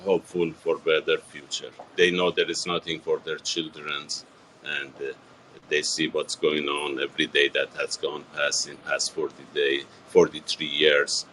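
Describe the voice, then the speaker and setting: A man, answering a TV reporter's questions in a halting, earnest way with many fillers and restarts. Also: extremely low pitch, flat delivery, pushed and bright, slight background noise, poor audio. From Iran. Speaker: interviewee. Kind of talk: TV news interview.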